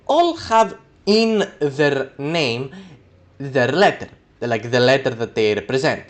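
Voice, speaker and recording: A man's voice: mid-range voice, a bit bright. A young man, a native Greek speaker, explaining letters and sounds in a loose, rambling way, with many ums and uhs. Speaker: video creator explaining letters. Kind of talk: casual educational talk.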